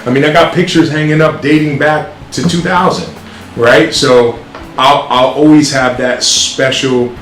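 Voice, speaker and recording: A middle-aged man, a school principal, answering interview questions in a loud, earnest way with frequent ums and restarts. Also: mid-range voice, fairly even intonation, a little slow, a little hiss or hum. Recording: roomy sound with music behind.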